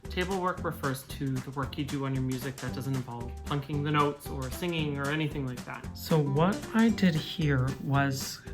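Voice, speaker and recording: A man's voice: mid-range voice, moderate pace, hardly any pauses, over music. A man, an opera singer, explaining his score preparation to camera in a thoughtful, explanatory way. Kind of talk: vlog talking to camera.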